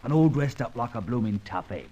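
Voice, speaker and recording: A man's voice: mid-range voice, animated, a little fast, mellow, lightly acted. An actor with a Cockney accent playing a cheerful, loyal old ex-safecracker in a vintage radio drama, chuckling as he talks. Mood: chummy. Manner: chuckling, folksy. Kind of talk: 1940s radio acting.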